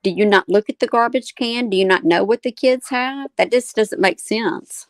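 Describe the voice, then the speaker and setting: A woman's voice: on the high side, moderate pace, no room sound. A woman co-hosting a live discussion, giving opinionated commentary in an emphatic, questioning way. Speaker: co-host moderating a live discussion.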